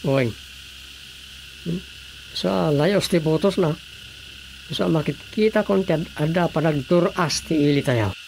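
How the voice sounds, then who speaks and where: An actor with an Ilocano accent playing a folksy, comic small-town mayor and husband in a radio drama comedy. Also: male voice, on the high side, measured pace, creaky, pauses often. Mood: proud. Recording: noisy background with cricket-like ambience.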